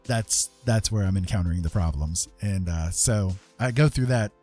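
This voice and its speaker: A man's voice: low-pitched, moderate pace, warm tone. A man hosting a casual livestream as a digital artist, talking in a rambling, self-deprecating way with frequent chuckles. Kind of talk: casual livestream chat while painting. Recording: music playing behind the voice. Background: music.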